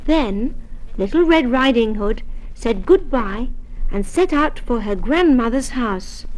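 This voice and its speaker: A woman narrates a children's storybook in a high, gentle voice. Her delivery is slow and deliberate, and every word comes through clearly for young listeners. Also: lively intonation, low-quality recording. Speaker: story reader.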